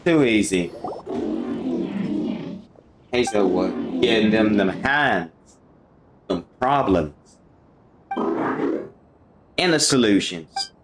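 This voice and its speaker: Slow-paced man streaming games, lively pitch. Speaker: game streamer. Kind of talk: casual gameplay commentary. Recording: loud game music over the voice.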